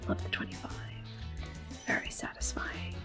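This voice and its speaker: A young woman giving calm, soft-spoken ASMR commentary on a game she is playing, drifting often into close whispers with gentle fillers. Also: moderate pace. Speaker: ASMR gaming host. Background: faint video game music.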